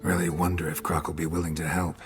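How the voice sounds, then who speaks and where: A man playing a stoic, weary monster hunter in a video game, with a gravelly, understated, deadpan delivery. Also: low voice, fast talker, close to an everyday voice. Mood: dry, matter-of-fact. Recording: music behind the voice.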